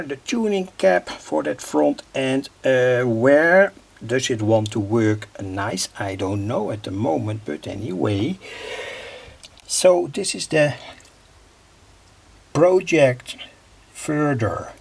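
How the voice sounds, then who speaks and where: A man with a husky voice walks through a homemade project in an informal, technical way. He takes his time, breaking sentences into short halting pieces, and his tone has a gravelly creak to it. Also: a little high, a little mellow. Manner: halting, piecemeal phrasing with pauses. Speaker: hobby electronics video maker. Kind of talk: informal hands-on technical explanation.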